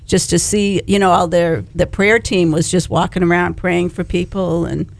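Female radio guest, devout and conversational, gravelly edge, sharing a personal church testimonial. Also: lowish voice, steady tone, moderate pace. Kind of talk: casual testimonial on a radio show.

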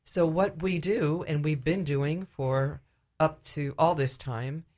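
A woman speaking as a ministry director and guest in a conversational radio interview, explaining things a little haltingly. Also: sits low, fairly even intonation, a little mellow.

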